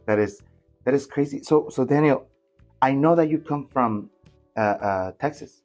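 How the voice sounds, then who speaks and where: A male podcast host with a mid-range voice, enthusiastic and quick to react. He asks his guest questions in a casual tone. Faint music sits behind him. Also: mid-range voice, a little mellow. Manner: enthusiastic, frequent short reactions. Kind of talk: casual interview.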